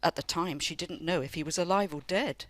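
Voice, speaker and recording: A woman's voice: fairly low pitch, dry recording, close to an everyday voice. A woman, unhurriedly telling family memories. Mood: reflective storytelling. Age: older. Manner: unhurried, reminiscing. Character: reflective elderly grandmother recalling wartime family history. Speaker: amateur radio play actor. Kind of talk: radio play storytelling.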